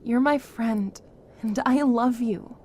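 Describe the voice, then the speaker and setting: Slow, high-pitched, caring woman played by an actress. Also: darkish tone, a little hiss or hum. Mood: earnest. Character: caring, frank, patient female friend. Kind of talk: dramatic audio drama acting.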